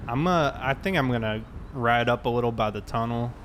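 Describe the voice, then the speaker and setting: A man's voice: medium pitch, a little fast, a bit bright. Casual man chatting over vehicle noise. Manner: conversational, explanatory. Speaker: game streamer and host. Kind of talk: casual chat while gaming.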